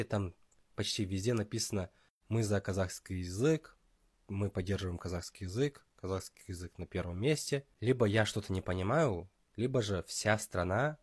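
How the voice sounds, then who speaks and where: A man's voice: lowish voice, a little mellow, husky, no room sound. A man hosting his channel, giving emphatic, opinionated commentary in a news style. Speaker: channel host. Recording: faint music behind.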